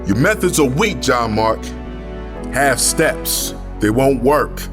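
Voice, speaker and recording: A man's voice: mid-range voice, animated, takes it slowly, over music. An actor playing a proud, combative male militant in an audio drama, challenging and declamatory. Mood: scornful. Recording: long reverberant hall sound.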